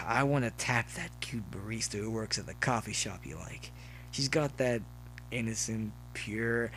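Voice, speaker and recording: A man's voice: lowish voice, a bit bright, poor audio. A man voicing a crude, laid-back college stud pony with a sluggish slacker drawl, in a story reading with hum behind.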